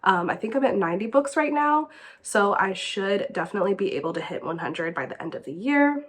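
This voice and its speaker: A woman's voice: mid-range voice, big pitch swings, a little fast. A young woman with a stuffy, congested nose chats casually as host of her book channel, giggling and saying "like" often. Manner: chatty, giggly, says "like" often. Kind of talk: casual vlog chat.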